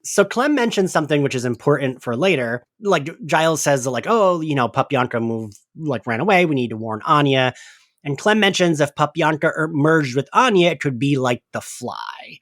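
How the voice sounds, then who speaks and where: Animated man chatting on a podcast. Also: on the high side, normal speed, dry recording, clean studio sound. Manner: chatty, hedging, frequent "like". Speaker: podcast host. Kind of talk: casual recap discussion.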